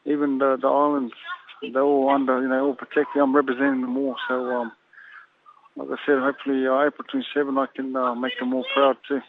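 A Samoan-born boxer being interviewed, talking haltingly and filling pauses with "you know". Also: male voice, mid-range voice, completely flat delivery, slight background noise. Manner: halting, leans heavily on "you know" fillers. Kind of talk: phone interview. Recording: tinny phone line.

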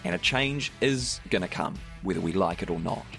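A New Zealand man hosts a podcast in a slightly husky voice. His pitch sits on the low side, and he moves between presenting and putting questions. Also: lively intonation, brisk, a bit bright, soft background music. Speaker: podcast host. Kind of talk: podcast narration and interviewing.

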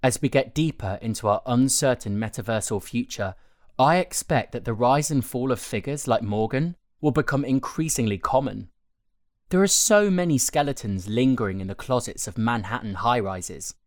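A man narrating a written article in a measured, articulate way. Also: mid-range voice, no echo, studio quality. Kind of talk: scripted audio article narration.

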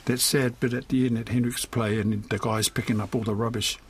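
Older kiwi guy, husky voice, talking frankly in an interview, lots of you knows and ehs. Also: medium pitch, little pitch movement, a little fast. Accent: New Zealand. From New Zealand. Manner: candid, colloquial, often adds "you know" and "eh". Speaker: playwright, interview guest. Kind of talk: reflective personal interview talk.

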